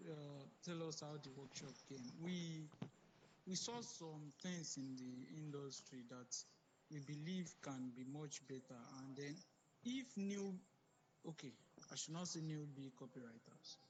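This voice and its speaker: A man co-hosting an informal online workshop, speaking in a halting way and pausing to reword himself. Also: fairly high pitch. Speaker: workshop co-host and copywriter. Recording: very noisy online call audio.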